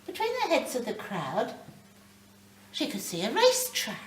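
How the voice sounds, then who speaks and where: A British woman reading a short story aloud in a dramatic, deliberate way. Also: on the high side, huge pitch swings. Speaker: story reader. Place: live reading in a library. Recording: roomy, distant mic, faint background noise.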